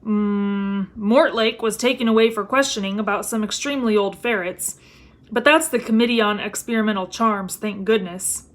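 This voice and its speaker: A woman's voice: mid-range voice, fairly even intonation, moderate pace, close to an everyday voice. A woman voicing a mild, good-natured middle-aged wizard father with a hesitant manner in a storybook reading. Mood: weary.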